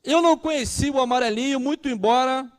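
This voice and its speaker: A man's voice: very high-pitched, fast. A man, preaching a conversational sermon and asking the congregation questions. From Brazil. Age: 30s. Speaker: pastor.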